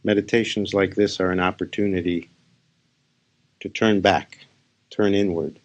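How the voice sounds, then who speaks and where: A man with a very low voice and a gravelly creak leads a guided meditation. He talks as a spiritual teacher, laying out short phrases without hurry and pausing between them. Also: steady tone. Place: live session.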